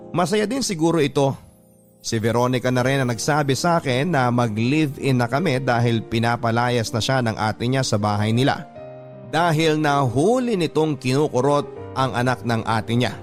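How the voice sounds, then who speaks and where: Male narrator, Filipino accent, mid-pitched voice, scripted radio storytelling, clear music bed.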